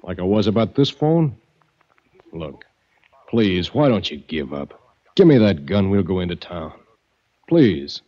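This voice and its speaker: An actor playing a nervous gas station attendant who pleads and persuades, in an old radio drama. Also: male voice, low voice, very animated, a little slow, pauses often, full character voice. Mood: pleading. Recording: dull old radio recording.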